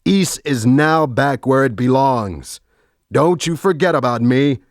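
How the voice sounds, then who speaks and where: An actor playing a bold, defiant bandit hero in dramatic video game style. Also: male voice, medium pitch, measured pace, no room sound, clean studio sound, natural voice.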